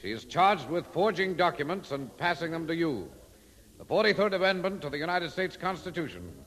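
An actor playing an officious, coldly menacing moon port official in a 1950s radio drama. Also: male voice, on the high side, sing-song, fairly quick, a bit bright. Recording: old radio recording, long hall reverb.

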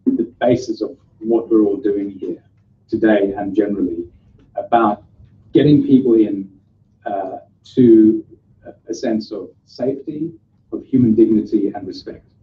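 A man emcees an event, introducing speakers from a podium with easy, joking warmth. His voice is low, the room is echoey, and the recording sounds dull. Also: unhurried. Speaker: master of ceremonies. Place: conference room, indoors. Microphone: podium mic, far camera.

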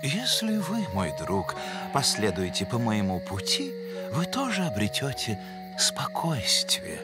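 A man's voice: mid-range voice, huge pitch swings, takes it slowly. A man voicing a pompous, learned cartoon moose with theatrical, florid scholarly phrasing. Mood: serene, smug. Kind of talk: animated cartoon voice acting. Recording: cartoon soundtrack with loud music behind.

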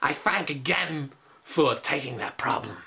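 A man in his twenties giving a comedic dramatic reading with theatrical, deliberate phrasing. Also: a little high, creaky, sharp tone. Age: 20s. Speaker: comic performer. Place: small indoor room.

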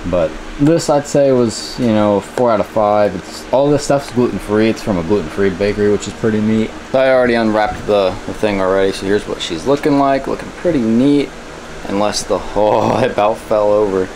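A young man with a fairly low voice talks casually to his vlog camera. He is laid-back and colloquial, and there is clear background noise on the handheld recording. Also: moderate pace, a little mellow. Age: 20s. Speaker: vlogger. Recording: noisy handheld camera audio with ambient hum.

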